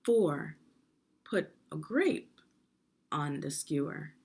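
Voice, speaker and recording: A woman leads a guided reading lesson for children in a fairly low voice with a slightly husky, creaky edge. She phrases each part deliberately and instructively, pausing to pose questions to young readers. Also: expressive, a little mellow.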